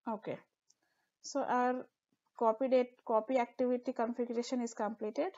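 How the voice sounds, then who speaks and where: A woman narrates a screen-recorded software tutorial, explaining each step with occasional fillers. Her voice sits a little high and mellow. The recording sounds dull and lo-fi. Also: steady tone, normal speed. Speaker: tutorial instructor.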